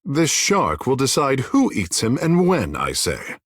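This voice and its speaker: Husky, theatrical young shark-folk charmer. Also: male voice, mid-range voice, wildly swooping pitch, a little slow, no room sound, clean studio sound, full character voice. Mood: playful, flamboyant. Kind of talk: video game voice acting.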